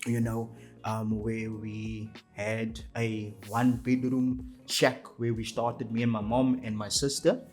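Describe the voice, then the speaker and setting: A man's voice: on the low side, flat delivery, slow pace, faint music behind. A young South African man with a Cape Town accent, a podcast guest telling his life story in a casual, storytelling way, with many fillers and restarts. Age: young adult.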